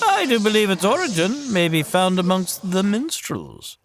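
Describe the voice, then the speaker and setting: A man's voice: high-pitched, sing-song, normal speed, crowd noise. A man playing a reproachful medieval Lord Chancellor in an audio drama, formal and theatrical in archaic English.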